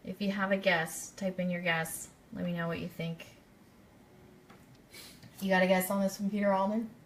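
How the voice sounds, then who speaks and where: A woman's voice: fairly low pitch, flat delivery, normal speed, a little hiss or hum, rough recording. A woman hosting a casual live-streamed radio quiz, chatty and speaking directly to her listeners. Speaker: radio show host.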